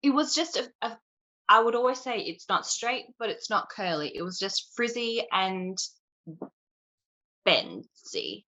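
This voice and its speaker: Young woman, Queensland Australian accent, bright forceful voice, dull lo-fi video call audio. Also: mid-range voice, unhurried. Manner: chatty, animated storytelling. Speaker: interview guest. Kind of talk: casual chat.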